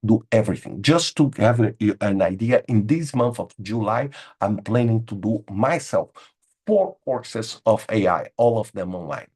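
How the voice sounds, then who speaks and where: Husky-voiced man, emphatic webinar host. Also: fairly low pitch, lively intonation, moderate pace, darkish tone. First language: Portuguese. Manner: emphatic, repeats words, says "okay?" a lot. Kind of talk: informal webinar talk answering chat questions. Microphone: video call.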